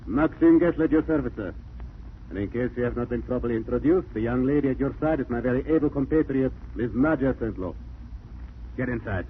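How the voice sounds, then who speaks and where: An actor playing a suave, menacing criminal ringleader in 1940s radio drama, mockingly courteous and formal. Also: male voice, mid-range voice, fairly quick, lightly acted. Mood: mockingly polite threat. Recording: scratchy, narrow-band 1940s radio recording.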